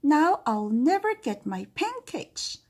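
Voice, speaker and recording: A woman voicing a spoiled young princess in a storybook, with high, sing-song calls and a whiny edge. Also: sing-song, takes it slowly, darkish tone, full character voice.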